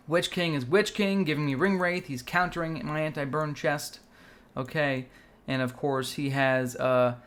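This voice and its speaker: A man with a slightly high voice hosts a gaming video. He breaks the game down in analytical commentary, punching it up like an announcer and speaking straight to his viewers. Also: fairly bright tone. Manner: announcer-like hype, addresses viewers as folks.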